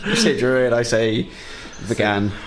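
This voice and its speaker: A man's voice: medium pitch, very animated, measured pace, over a noisy crowd. A man playing a tabletop role-playing game, trading blunt, wry casual banter. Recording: music playing behind the voice. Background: music.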